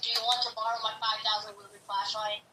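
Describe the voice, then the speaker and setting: A woman's voice: mid-range voice, level tone, quick pace. A woman chatting casually from off camera. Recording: distant from mic, faint noise.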